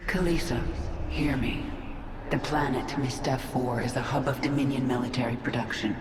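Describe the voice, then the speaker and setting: An actress playing a cold, ruthless queen of an alien swarm in a video game, speaking with deliberate command. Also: very deep voice, background noise. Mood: cold, questioning. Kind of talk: video game cutscene acting. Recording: echoey, under music.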